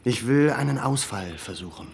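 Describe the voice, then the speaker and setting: An actor playing a resolute military leader in a radio play, talking in a firm, deliberate and commanding way. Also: male voice, medium pitch, expressive, creaky, breathy edge. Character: resolute male military leader planning a raid. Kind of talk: German radio play acting.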